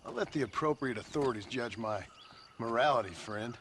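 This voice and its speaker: An actor playing a weary, guarded cowboy who speaks tersely and with dry, formal sarcasm in a western video game. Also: male voice, mid-range voice, fairly quick, fairly bright tone, slight background noise, lightly acted. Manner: terse, sardonic, formally polite.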